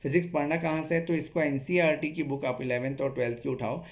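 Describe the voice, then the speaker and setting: A man's voice: a little high, steady tone, fast. A man giving an instructional study-strategy talk as an exam coach, explaining step by step and often checking in with short tag questions. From Chhattisgarh, India.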